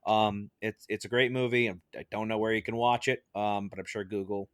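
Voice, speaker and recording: A man's voice: on the low side, flat delivery, a little fast, fairly bright tone, no room sound, studio quality. A man hosting a podcast in casual panel chat, with a jokey, teasing manner. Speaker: podcast host.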